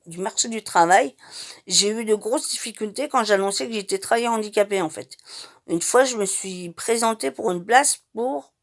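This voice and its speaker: A middle-aged woman from Madeira telling her life story in an unscripted, hesitant way, with many pauses and restarts. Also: mid-range voice. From Madeira, Portugal. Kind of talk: personal testimony, unscripted.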